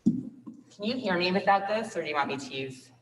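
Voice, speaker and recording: A woman with a loud teacher voice and a somewhat low pitch presents to a school board in an upbeat, explaining manner. She is picked up from a distance in a large meeting room, and her voice rings with a long hall echo. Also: lively intonation, normal speed. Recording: echoey hall from far off.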